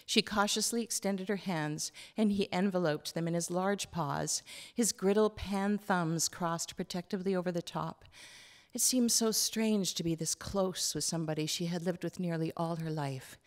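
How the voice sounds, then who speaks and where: Low-voiced woman reading literary fiction. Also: moderate pace. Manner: storytelling, acts out dialogue. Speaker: author reading from own novel. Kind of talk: expressive literary reading to an audience. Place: live book launch in a hall.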